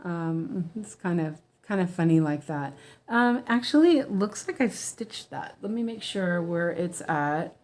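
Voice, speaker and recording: A woman's voice: lowish voice, lively intonation, a little slow, a little mellow. An American woman, a weaving teacher, chatting casually as she stitches, with lots of ums, restarts and easy laughter. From United States. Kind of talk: casual stitch-along chat.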